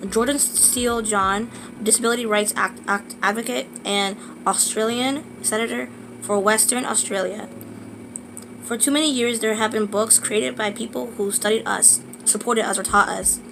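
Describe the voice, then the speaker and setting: A woman with a sore throat, reading a book aloud slowly in a casual vlog, with halting restarts and asides. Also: on the high side, creaky, background noise.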